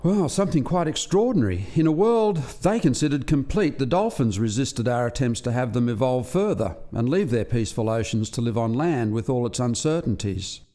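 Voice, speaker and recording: A man's voice: mid-range voice, expressive, a little fast, few pauses, mellow, close to an everyday voice. A man as an earnest, explanatory scientist. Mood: earnest, storytelling. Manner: explanatory, articulate, formal. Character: Gary, earnest male alien scientist explaining experiments to colleagues. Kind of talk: radio play acting.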